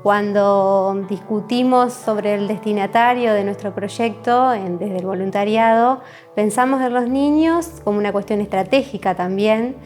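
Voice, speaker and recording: A woman's voice: medium pitch, a little slow, a little mellow, faint music behind. An Argentine woman giving explanatory interview testimony in a careful, articulate manner. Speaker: museum communications staff member.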